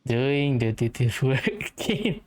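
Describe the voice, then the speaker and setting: Woman gamer, very deep voice, slow-paced, casual teasing commentary, game music underneath. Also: expressive, a little mellow. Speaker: game streamer.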